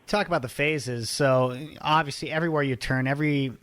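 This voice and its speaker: A man hosting an interview, asking quick conversational questions. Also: medium pitch, steady tone, a bit bright, clear, resonant tone, dry recording. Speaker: interview host.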